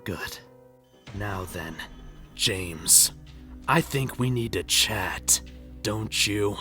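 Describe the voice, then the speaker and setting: Man acting a slow, bitter, menacing brooding father. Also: on the low side, bright, forceful tone, faint music behind, heavily acted. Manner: menacing, sardonic, swearing. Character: bitter, unhinged middle-aged widowed father, tender toward a child. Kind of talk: dramatic comic dub voice acting.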